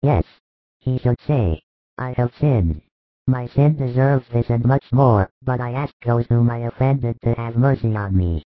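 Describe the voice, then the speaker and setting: A man's voice: mid-range voice, unhurried, no room sound, natural voice. Man as solemn male savior, authoritative, firm, merciful, scripture drama, thin muffled recording. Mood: instructing.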